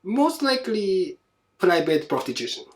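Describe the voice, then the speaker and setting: A man with a Japanese accent hosting a casual podcast, speaking halting non-native English and backing up his co-host with steady murmurs of agreement. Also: high voice.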